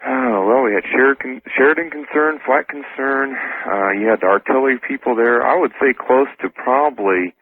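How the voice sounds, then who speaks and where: An American man answering interview questions as a radio guest, husky, polite and matter-of-fact. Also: medium pitch, normal speed, few pauses. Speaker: radio show guest, ex-soldier. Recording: tinny phone line on radio.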